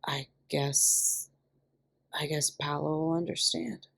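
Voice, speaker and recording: A woman voicing a shady male inmate in an audio story, pushy and falsely friendly with a sharp edge. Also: deep voice, fairly even intonation, slow pace, creaky, slightly rough, heavily acted. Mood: stammering, cowed. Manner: eager with a sharp undercurrent.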